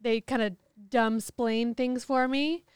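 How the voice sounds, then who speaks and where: A woman co-hosting a podcast in a very crisp, smooth voice, a little higher than most. She teases and drops short deadpan quips. The sound is dry and close. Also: slow pace, no room sound. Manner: teasing, deadpan one-liners. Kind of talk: casual chat.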